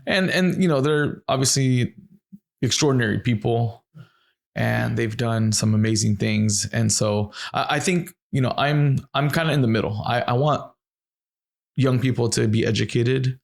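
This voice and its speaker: A man's voice: mid-range voice, moderate pace, creaky. A young adult man hosting a podcast, chatting in an easygoing, affirming way. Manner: easygoing, affirming, casual slang. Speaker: podcast host. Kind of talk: casual interview chat.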